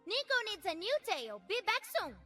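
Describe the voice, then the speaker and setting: Video game chameleon girl creature, tiny high voice, swooping melody, playful, curious, simple broken phrasing. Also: very animated, a little fast, bright, forceful tone, soft background music, heavily acted. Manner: speaks of herself in third person with broken grammar. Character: playful, childlike female shapeshifting chameleon creature, curious and tender.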